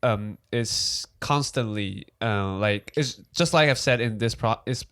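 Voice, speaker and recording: A young man from Taiwan with a Taiwanese accent, Mandarin his first language, co-hosting a casual podcast and talking in halting, restarting sentences. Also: fairly low pitch, fairly bright tone. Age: young adult. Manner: halting, many restarts and fillers. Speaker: podcast co-host. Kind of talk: casual chat.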